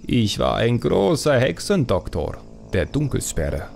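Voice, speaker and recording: A man's voice: medium pitch, sing-song, measured pace, gravelly, a little mellow, soft background music, pitched above the performer's own voice. A man voicing a cheeky, upbeat young male troll in a fantasy audiobook, playful and teasing. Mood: reflective. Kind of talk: audiobook character acting.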